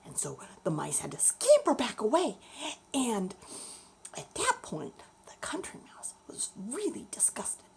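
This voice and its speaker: Animated woman storyteller, unhurried pace. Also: a little high, gravelly, husky, whispered. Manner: expressive, deliberate, explaining to young listeners. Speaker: children's storyteller. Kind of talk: bedtime storytelling for children.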